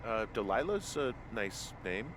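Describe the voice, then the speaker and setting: A man's voice: lowish voice, big pitch swings, measured pace, noisy background. An actor playing an easygoing, wry male fire lookout in a video game, talking casually with dry understatement. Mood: dry, joking. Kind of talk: naturalistic video game voice acting.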